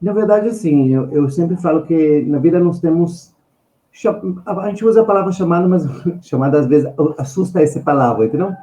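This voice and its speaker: Higher-pitched man with an Israeli accent. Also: expressive, normal speed, mellow, roomy sound, low-quality recording. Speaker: guest finance expert. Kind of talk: conversational podcast storytelling and advice.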